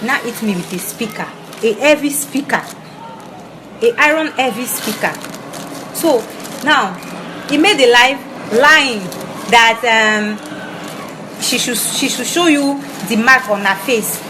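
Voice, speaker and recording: A woman partly of Nigerian background, not a native English speaker, ranting bluntly to camera in halting English with many restarts. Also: fairly high pitch, background noise. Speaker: vlogger. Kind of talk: direct-to-camera rant. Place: Ivory Coast.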